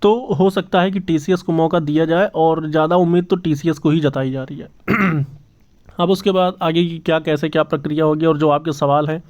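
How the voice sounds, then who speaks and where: A man's voice: a little high, fairly even intonation, normal speed, darkish tone. A man hosting his channel's job exam news update, talking earnestly and repeating himself with many fillers. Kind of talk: casual news update to camera. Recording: slightly dull lo-fi audio.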